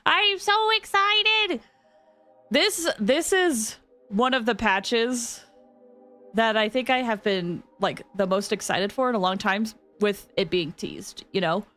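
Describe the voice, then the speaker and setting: A woman hosting a game livestream, chatting casually with viewers in an expressive, excitable way and often repeating phrases for emphasis. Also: a little high, slow, soft background music. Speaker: game streamer and host.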